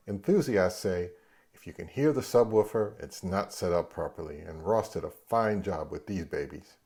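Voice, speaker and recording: A man's voice: lowish voice, darkish tone. A man speaking as the host of an audio review, interviewing and narrating.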